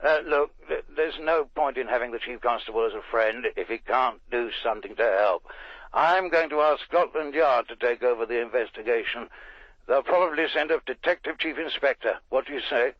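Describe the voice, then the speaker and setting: Bluff establishment friend, radio drama phone call, mid-pitched, filtered dull line. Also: male voice, brisk, no echo. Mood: concerned, brisk. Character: bluff, solicitous male senior official and family friend. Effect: telephone filter. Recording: dull telephone-filtered sound, dry.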